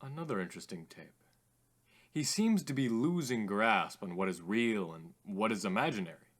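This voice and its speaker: An actor playing an earnest, philosophical radio host in an audio drama, formal and slightly theatrical. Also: male voice, lowish voice, animated, moderate pace. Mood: intrigued.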